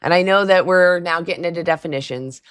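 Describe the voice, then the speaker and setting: A woman hosting a casual livestream call-in with a raspy, hoarse voice. She chats loosely, laughs often and talks back to her chat. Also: on the low side, fast, a bit bright. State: hoarse throat.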